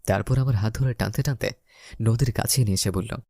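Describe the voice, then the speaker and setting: A man's voice: on the low side, brisk, gravelly, warm tone, no room sound, clean studio sound. A man narrating a scripted first-person romantic story, voicing the dialogue as he goes.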